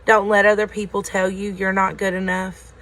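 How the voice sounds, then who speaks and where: A woman's voice: mid-range voice, little pitch movement, moderate pace, a bit bright, low-quality recording. A woman reading a children's story aloud. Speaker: story reader at a school.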